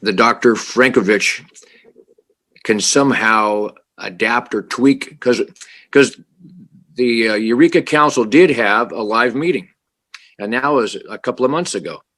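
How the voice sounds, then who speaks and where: A councilman with a loud voice, earnest and halting, who stumbles over his own starts. His pitch sits in an ordinary middle range, and he comes through a remote video call. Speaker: city councilmember. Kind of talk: council meeting discussion.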